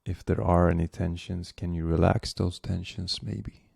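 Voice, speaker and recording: A man leading a guided meditation as the guide, speaking gently and unhurriedly with long pauses. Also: low voice, fairly even intonation, gravelly, husky, no echo, clean studio sound.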